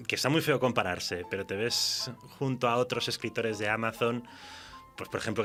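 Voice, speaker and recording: Bright-voiced man from Spain, slightly low. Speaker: guest writer. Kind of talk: casual interview chat.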